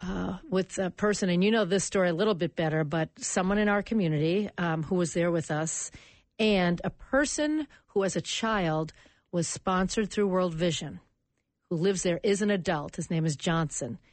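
Animated American woman telling a story. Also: lowish voice, normal speed, no room sound. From United States. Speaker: radio guest. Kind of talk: interview storytelling.

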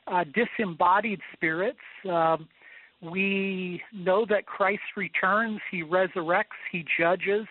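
Guest theologian, male, high-pitched, measured and thoughtful, heard over a narrow phone-quality line. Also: no echo. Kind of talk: explanatory answers on a radio show.

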